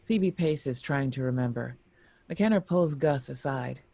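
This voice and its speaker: Mellow, dry-sounding screenplay narrator, a woman. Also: very deep voice, measured pace, creaky, slightly rough, no room sound. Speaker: stage directions narrator.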